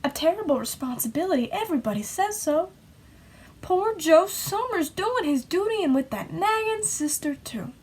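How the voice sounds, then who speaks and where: A woman's voice: extremely high pitch, expressive, moderate pace, a little mellow. A woman playing a bitter, folksy small-town sister who nags and accuses, in an amateur play acted over video call. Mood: mocking. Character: bitter, defiant small-town woman nagging her brother. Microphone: video call microphone.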